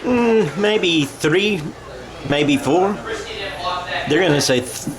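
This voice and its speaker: A man's voice: fairly high pitch, lively intonation, slow, crowd noise, poor audio. A middle-aged American man calling a football game in radio play-by-play style, with a folksy, self-correcting manner. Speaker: play-by-play sports announcer.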